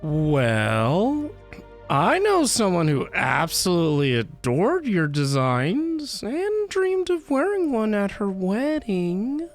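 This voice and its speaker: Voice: mid-range voice, wildly swooping pitch, slow pace. A livestreamer reacting casually to a game, with hesitant, wry asides. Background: game music.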